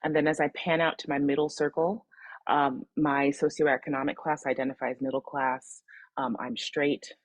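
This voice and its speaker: A woman from the American Midwest presenting an online workshop, calmly guiding participants through reflection exercises over a video call. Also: sits low, moderate pace, no echo. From Midwestern US, Michigan. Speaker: webinar presenter.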